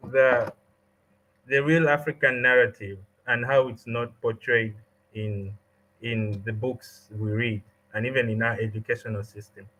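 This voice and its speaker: A man asks interview questions in a bright, forceful voice of middling pitch. He is friendly but hesitant, often filling pauses, and talks over a video call. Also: rough recording. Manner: friendly, hesitant, many fillers.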